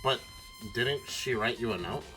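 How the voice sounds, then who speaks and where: A man's voice: mid-range voice, lively intonation, a little slow, bright, forceful tone, soft background music, poor audio. A man voicing an earnest, suspicious young detective, questioning and narrating in an amateur video game dub. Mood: suspicious, probing. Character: earnest, suspicious teenage boy detective narrating his investigation.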